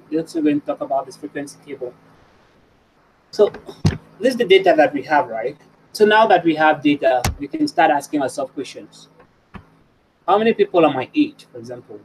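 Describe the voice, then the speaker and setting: A man's voice: on the high side, slight background noise, rough recording. A man presenting a webinar lesson, explaining points and often checking in with "right?".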